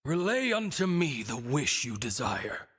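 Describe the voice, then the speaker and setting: A man playing a lofty, amused godlike being in a video game cutscene, speaking in grand, deliberate, archaic phrases. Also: mid-range voice, sing-song, fairly bright tone, husky, exaggerated voice. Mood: solemn, imperious. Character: lofty, ancient male godlike being granting wishes, amused and formal.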